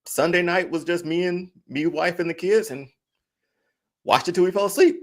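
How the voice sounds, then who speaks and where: A man co-hosting a casual podcast, talking in an easygoing, relaxed way as a young father. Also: sits high, moderate pace. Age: young adult father. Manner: laid-back, easygoing. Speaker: podcast co-host. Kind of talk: casual chat.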